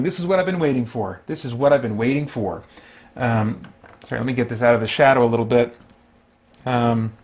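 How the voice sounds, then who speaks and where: A man hosting a solo tabletop game, talking casually and thinking aloud in a rambling way as he plays. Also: mid-range voice. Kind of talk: casual game play-through commentary.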